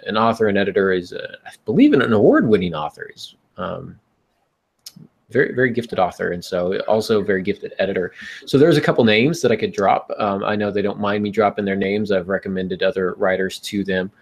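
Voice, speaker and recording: Creaky, low-voiced male podcast host. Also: brisk. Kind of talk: casual podcast talk giving writing advice.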